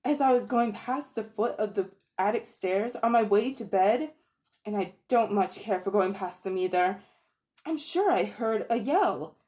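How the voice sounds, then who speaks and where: A woman voicing a miserable young boy in a storybook reading, talking in an upset, wound-up way, her voice raised higher than her narration. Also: animated, unhurried, darkish tone, natural voice. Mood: secretive. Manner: upset, wound up, near tears.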